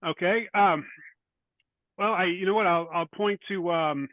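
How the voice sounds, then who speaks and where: A man's voice: high-pitched, unhurried, no room sound. A man in his fifties or older answering interview questions as an author, hesitant and reflective. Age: 50s or older. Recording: tinny phone-like line, dry.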